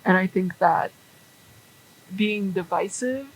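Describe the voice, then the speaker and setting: A woman voicing a young male tugboat who talks softly and rambles earnestly. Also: mid-range voice, slow, poor audio. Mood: musing.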